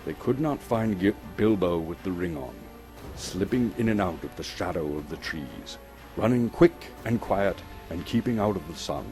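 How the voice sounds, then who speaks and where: A man from Canada giving a dramatic, suspenseful storybook narration with theatrical, deliberate phrasing. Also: deep voice, animated, darkish tone. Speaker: actor reading a story aloud. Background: music.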